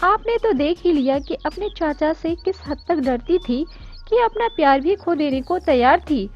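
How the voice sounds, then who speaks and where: Woman story narrator, really high voice, bit muffled recording w music. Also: animated, normal speed. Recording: dull lo-fi audio with music. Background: background music.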